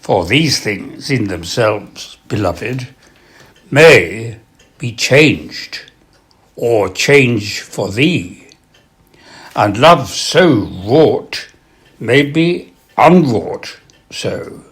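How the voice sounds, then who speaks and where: A man reading poetry aloud in an expressive recital style, with measured, deliberate phrasing and pauses. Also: medium pitch. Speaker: poetry reader.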